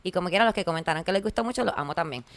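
A woman's voice: lowish voice, lively intonation, rapid-fire. A woman, hosting a podcast in casual chat, laughing often and mixing in English words. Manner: chatty, laughing, mixes English words into Spanish. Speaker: podcast host.

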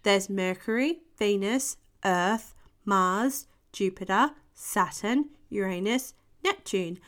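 A woman voicing a hearty, booming old grandfather rabbit who leads a space mission in a storybook. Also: slow, close to an everyday voice. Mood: instructive, excited. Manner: booming, rousing.

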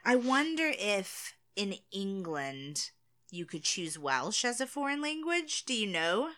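A woman's voice: medium pitch, very animated, bright, forceful tone. A woman co-hosting a casual chat, listening closely, putting in questions and laughing easily. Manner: attentive listener, quick to laugh. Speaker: podcast co-host.

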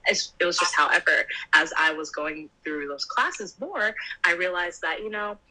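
A woman's voice: fairly low pitch, normal speed, sharp tone, low-quality recording. A young woman in her twenties, an upbeat pageant titleholder chatting and laughing easily as an interview guest. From United States. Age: 20s. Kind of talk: casual interview.

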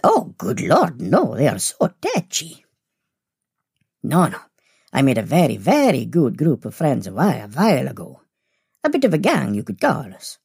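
Audio-drama casting: genteel old vampire gentleman played by a woman, arch and exclamatory, mellow husky tone, wildly swooping delivery. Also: a bass voice, wildly swooping pitch, moderate pace, no room sound, clean studio sound, heavily acted. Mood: chatty, wry. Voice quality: husky, creaky. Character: chatty genteel male vampire, old, tetchy-fond, theatrical. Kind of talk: acted audio story dialogue.

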